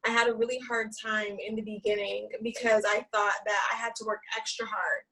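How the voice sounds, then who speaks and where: A woman's voice: mid-range voice, level tone, a little fast, pushed and bright, distant mic, poor audio. A woman who works as a therapist and yoga teacher, speaking candidly and from the heart on a discussion panel, repeating points for emphasis.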